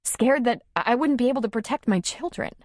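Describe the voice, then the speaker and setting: A woman voicing a tearful, timid young single mother who confides haltingly in an audiobook story. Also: a little high, animated, darkish tone, no echo, clean studio sound, pitched above the performer's own voice. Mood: confiding, vulnerable. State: tearful, sniffling.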